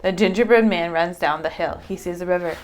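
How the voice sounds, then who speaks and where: A woman's voice: on the low side, brisk, a little hiss or hum. A woman teacher reading a children's story aloud and chatting with a child about it. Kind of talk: animated children's read-aloud with questions to a child.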